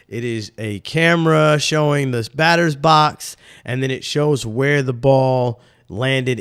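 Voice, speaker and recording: A man's voice: mid-range voice, dry recording. Man, young adult, slightly nasal, rambling slangy sports host, dry recording. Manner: loose, animated, rambling with slang. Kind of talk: casual sports talk.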